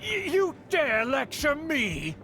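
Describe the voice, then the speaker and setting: A man's voice: very high-pitched, wildly swooping pitch, takes it slowly, pushed and bright, soft background music, full character voice. A male actor playing a haughty, indignant older aristocrat in a video game, speaking in a pompous, theatrical, formal way. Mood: outraged. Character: haughty, indignant aristocratic uncle, elder of noble clan. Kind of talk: dramatic video game voice acting.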